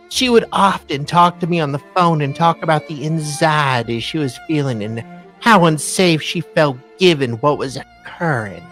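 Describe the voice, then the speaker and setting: A woman co-host delivers a dramatic read-aloud of written documents. Her manner is theatrical and teasing, with mocking, conversational asides. Faint music plays behind her voice. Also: sits low. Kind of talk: dramatic reading of court documents with casual asides.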